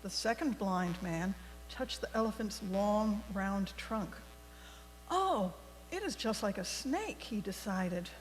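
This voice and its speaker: A woman reading a story aloud to a congregation in a deliberate, expressive storybook manner. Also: mid-range voice. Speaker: worship leader telling a story for all ages. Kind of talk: read-aloud storytelling in a church service. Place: large indoor congregation space. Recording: echoey hall with mains hum.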